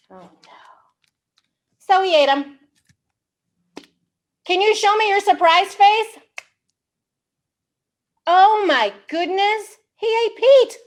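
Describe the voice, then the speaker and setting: A woman hosting a storytime for young children, reading aloud in an animated way with simple, exaggerated, encouraging questions. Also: very high voice. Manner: slow, simple wording for English learners. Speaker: children's storytime librarian host.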